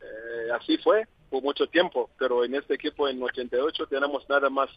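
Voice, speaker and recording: A man's voice: medium pitch, breathy edge. A man from Zambia talks in Spanish that is not his first language, stopping often to hunt for words. His voice comes through a dull, lo-fi line. The sound is dry, with no room echo. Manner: halting, searching for words with many fillers. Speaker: interview guest. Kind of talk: phone interview.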